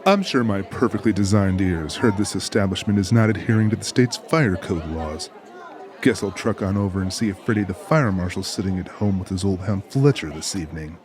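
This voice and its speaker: A man's voice: low-pitched, brisk, a little mellow, a faint crowd, lightly acted. A man playing a big, sardonic tough with a pompous, wordy, mock-formal manner in an audiobook drama. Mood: sarcastic, threatening.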